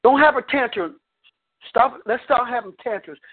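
A man's voice: very high-pitched, moderate pace, slightly rough. A man, the guest preacher, telling a story in his sermon and breaking it up with "come on, amen" as he goes. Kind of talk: preaching by phone conference. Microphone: phone. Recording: tinny phone line.

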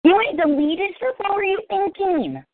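An American woman in her forties with a squeaky voice, chatting casually as a podcast host and telling stories in an animated, self-deprecating way. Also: normal speed. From Cincinnati, Ohio, United States. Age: 40s. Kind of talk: casual chat. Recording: thin phone-like audio, dry.

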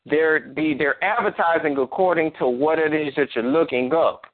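A man with a fairly high voice talks in loose, rambling runs, circling back and restarting his thoughts as he goes. The sound is thin and narrow, like a phone call. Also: moderate pace. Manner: rambling, many restarts and "you know". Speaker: host. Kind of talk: casual talk on a phone line. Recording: tinny phone line.

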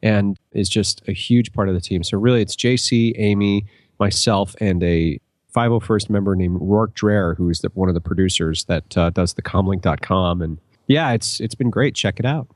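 A middle-aged man with an American accent shares anecdotes as a podcast guest. His voice is fairly low and his delivery casual, recorded dry without room echo. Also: normal speed.

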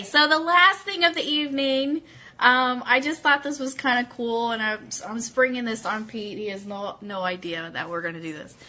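A woman hosting a casual live fan show, chatting and reading aloud in an excitable, informal, giggly way. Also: high voice. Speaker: host of an Elvis fan radio show.

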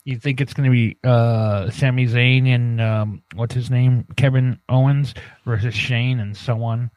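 A man co-hosting a podcast in casual banter, crude, profane and quick to argue. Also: medium pitch, fairly even intonation, a little mellow, no room sound. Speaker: podcast co-host and producer. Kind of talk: casual banter about wrestling and movies.

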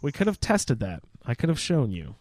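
A man's voice: medium pitch, wildly swooping pitch, normal speed, a little mellow, no room sound. A man hosting a casual roundtable chat about a video game, steering the group with questions. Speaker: podcast host moderating a roundtable.

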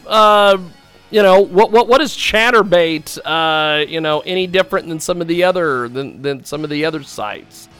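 A man's voice: on the high side, moderate pace, a bit bright, clear, resonant tone, soft background music. A man hosting an online radio talk show, reading hype-filled promos and interviewing a guest over a call. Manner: repetitive, salesy, hyping.

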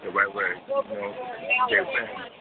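A man protesting outdoors who talks haltingly, stumbling and repeating "you know". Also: lowish voice, very animated. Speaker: protester being interviewed. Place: outdoors on a city street. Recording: tinny phone line with street noise.

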